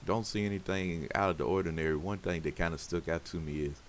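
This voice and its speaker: A man with an extremely low, scratchy voice talks through his game in a casual, rambling way, stacking up "you know" and "pretty much" as he goes. A faint hiss sits under the recording. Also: fast talker. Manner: rambling, loose, full of fillers. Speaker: game streamer. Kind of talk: casual gameplay commentary.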